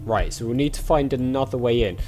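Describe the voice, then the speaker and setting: A man's voice: medium pitch, a little fast, darkish tone, faint music behind. A man giving casual let's-play commentary as a channel host, chatting and thinking aloud in questions as he plays. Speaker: video game player and channel host.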